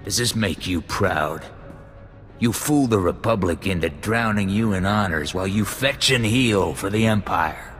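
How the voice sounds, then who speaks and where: A man's voice: lowish voice, unhurried, a bit bright, faint music behind. An actor playing a bitter, proud male officer in a game who speaks bluntly and with contempt. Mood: contemptuous. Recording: game audio with long reverb and score.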